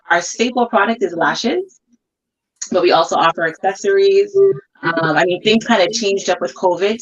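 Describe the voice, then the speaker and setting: Canadian-born lady guest chatting easy, lotta ums, low-ish voice, sounds far off on the line. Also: highs cut off. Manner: relaxed and chatty, many fillers. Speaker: guest business owner. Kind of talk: casual chat. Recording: distant remote mic.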